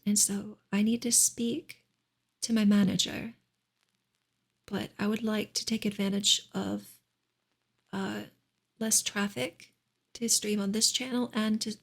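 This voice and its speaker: A woman speaking softly and gently in a breathy, whispery ASMR style, as a streamer reading and chatting to listeners. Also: mid-range voice, level tone, slow. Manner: gentle, soft-spoken. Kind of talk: soft-spoken ASMR book reading and chat.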